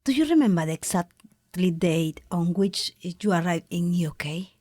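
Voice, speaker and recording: A woman conducts a podcast interview, putting questions gently in slightly non-native English. Her voice sits a little low. The sound is dry and close, with no room echo. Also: expressive, measured pace.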